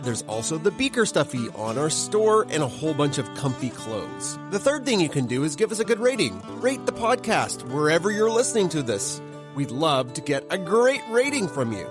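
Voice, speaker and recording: A woman hosting a science podcast, reading plugs and asking quick, upbeat follow-up questions. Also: low voice. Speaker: podcast host. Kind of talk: casual interview and plugs.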